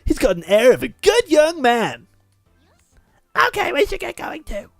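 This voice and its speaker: A woman's voice: high-pitched, big pitch swings, a little slow, creaky, soft background music. A woman playfully commentating on a visual novel as a host, jokey and sweary. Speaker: let's play host.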